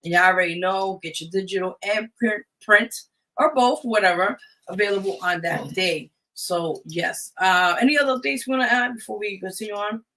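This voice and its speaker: Bright-voiced woman hosting a live radio show. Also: mid-range voice, normal speed, low-quality recording. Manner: informal, addressing listeners as guys. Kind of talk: casual live show announcements.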